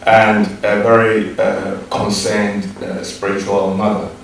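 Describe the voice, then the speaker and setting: Low-voiced Ghanaian man in an echoey room. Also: level tone, creaky, far from the mic, slight background noise. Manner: measured storytelling with fillers. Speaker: guest, teacher. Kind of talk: interview.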